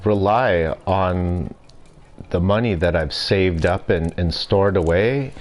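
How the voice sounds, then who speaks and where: Slow, deep-voiced stuffy man streaming. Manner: relaxed, rambling, self-deprecating. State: stuffy nose and throat. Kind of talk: casual gameplay commentary. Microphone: desk mic.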